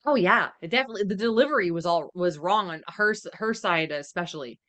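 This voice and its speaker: A woman co-hosting a reaction podcast, chatting casually with an animated, blunt, opinionated manner. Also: fairly low pitch, quick pace, no room sound. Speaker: podcast co-host.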